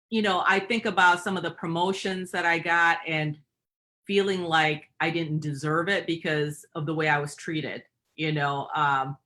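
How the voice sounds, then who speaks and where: A woman's voice: sits low, sharp tone. A middle-aged woman with a Michigan accent, a guest telling personal stories in reflective talk with frequent fillers. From Michigan, USA. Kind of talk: personal storytelling in interview.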